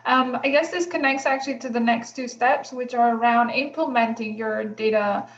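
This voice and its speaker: A woman's voice: on the high side, normal speed. Woman moderating over an echoey call. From Greece. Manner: relaxed, many ums, thinking aloud. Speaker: workshop facilitator. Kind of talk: online workshop moderation. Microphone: video call. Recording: echoey room, dull lo-fi sound.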